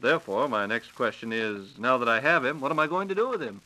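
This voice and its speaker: Bright-voiced 1940s American radio host, male. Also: medium pitch, expressive, quick pace, poor audio. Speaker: radio host interviewing a science reporter. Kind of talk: 1940s scripted radio science program. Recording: 1940s radio broadcast recording.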